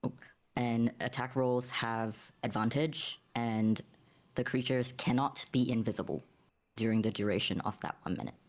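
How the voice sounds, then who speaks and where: A man playing a tabletop roleplaying game, casually explaining his move in a halting, thinking-aloud way. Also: medium pitch, steady tone.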